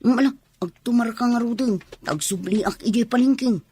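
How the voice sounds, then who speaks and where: An actress playing a caring, hardworking young son or daughter in an Ilocano radio drama. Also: female voice, a little high, normal speed, dark tone, breathy edge. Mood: caring, reassuring. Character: dutiful, caring, hardworking young child of ailing mother.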